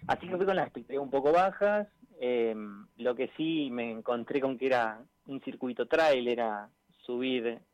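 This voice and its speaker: A man with an Argentine accent answers interview questions over a muffled, lo-fi phone connection. His voice sits at an average pitch with no room echo. He talks colloquially and breaks off often to find the next word. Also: normal speed. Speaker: interviewed amateur triathlete. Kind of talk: casual radio interview. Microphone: phone line. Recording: dull lo-fi phone call.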